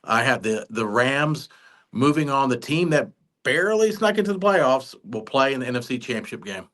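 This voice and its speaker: A man co-hosting a sports podcast, giving his picks in casual chat and slowing down to stress numbers. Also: medium pitch, expressive, a bit bright.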